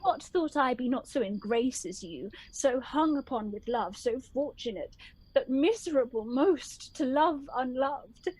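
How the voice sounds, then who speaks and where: A woman acting a young heroine of a Shakespeare radio play, high-voiced and closely recorded with little room sound. She declaims verse with wounded indignation, reproachful as one betrayed by her friends. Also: animated, unhurried, a little mellow, slightly rough, no echo, full character voice, poor audio. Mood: bitter, aggrieved.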